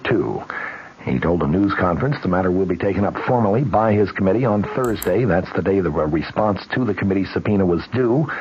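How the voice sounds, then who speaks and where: An American man reading a scripted 1970s radio news report in a measured, formal newsreader delivery. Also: deep voice, breathy edge. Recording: dull, noisy old radio recording.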